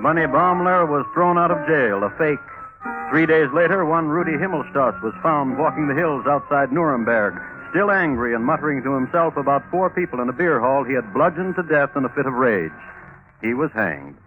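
An actor playing a droll, precise crime historian, narrating in a measured deadpan in old radio style. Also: male voice, on the high side, music in the background, close to an everyday voice. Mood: wry deadpan. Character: droll, precise crime historian hosting true murder tales. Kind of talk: scripted 1950s radio narration. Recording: tinny old radio recording.

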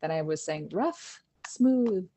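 A woman, an education director, answering interview questions in a relaxed storytelling way, with fillers and restarts. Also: fairly low pitch, takes it slowly. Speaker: Montessori education director, guest.

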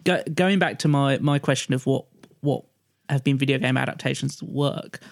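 A man's voice: medium pitch, normal speed, vocal fry, dry recording, studio quality. A man discussing casually as a podcast panellist, hesitant and restarting his phrases.

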